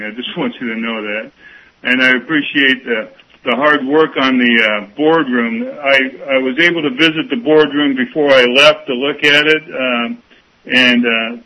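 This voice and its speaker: A man on a school board, speaking through a phone on speakerphone, halting with many restarts. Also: mid-range voice, bright, forceful tone. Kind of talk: public meeting remarks. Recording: dull lo-fi speakerphone audio.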